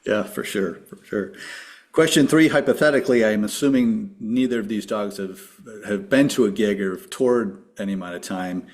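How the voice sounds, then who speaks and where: A man's voice: lowish voice, normal speed. A man hosting a podcast, asking his guest light, upbeat questions in a friendly way. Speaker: podcast host. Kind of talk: casual interview questions.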